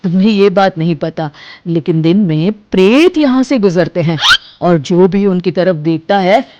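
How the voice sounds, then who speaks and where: A woman voicing a patient, clever young farmer husband in an animated story, speaking in a calm, gently persuasive way. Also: on the low side, measured pace, lo-fi sound, slight background noise.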